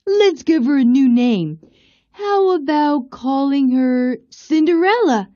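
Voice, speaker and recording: A woman's voice: sits high, expressive, slow pace, dark tone, no room sound, lo-fi sound. A woman playing a mean, pushy young stepsister in a storybook, taunting and self-important. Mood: gleeful, mocking. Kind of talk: storybook villain acting.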